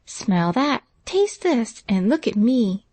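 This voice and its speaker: A woman voicing an eager, excitable young girl of means who talks fast and earnestly. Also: a little high, no echo, pitched above the performer's own voice, lightly acted.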